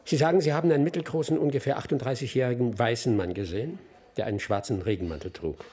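An actor in a radio crime drama playing a patient middle-aged detective, questioning politely and methodically in a husky voice. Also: male voice, mid-range voice, fast talker, dark tone, natural voice.

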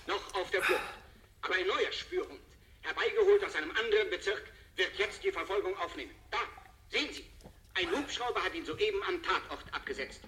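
A sensation-hungry television reporter in a vintage radio play. His voice is forceful, sharp and fairly high. He announces a manhunt with theatrical emphasis and excited menace. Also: a little fast, slight background noise, rough recording. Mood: excited, dramatic. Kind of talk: live manhunt commentary in 1970s radio drama.

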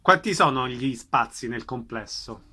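A man's voice: mid-range voice, expressive, a little slow, bright, forceful tone. A man hosting an arts programme on the radio, introducing and interviewing guests.

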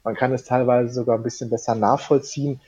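A man with a slightly husky voice talks eagerly and rambles, piling on fillers. The audio is muffled and lo-fi. It has the feel of a casual podcast guest spot. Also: medium pitch, level tone, fast talker, mellow. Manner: enthusiastic, rambling, laughs into sentences. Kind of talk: casual chat.

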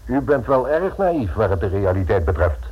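An actor playing a haughty, condescending society lawyer in a radio play, formal and scornful in manner. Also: male voice, on the low side, sing-song, moderate pace, husky. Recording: muffled old radio recording.

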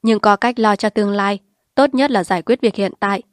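A woman's voice: medium pitch, fairly quick, no echo, clean studio sound, natural voice. A woman reading a story aloud, voicing a bold, scheming village man who talks in a heated, confrontational way. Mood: defiant.

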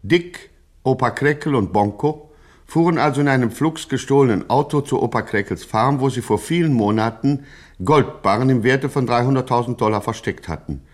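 An actor playing a grandiloquent narrator of a gangster satire, speaking in a pompous, mock-solemn way. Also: male voice, medium pitch, normal speed, lightly acted. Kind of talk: scripted satirical radio narration.

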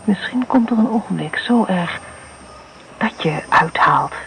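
An actor playing a quiet figure who muses on dark thoughts in a hushed, half-whispered voice, in a radio play. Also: mid-range voice, expressive, a little slow, creaky, slight background noise, low-quality recording. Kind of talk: radio drama within a radio broadcast.

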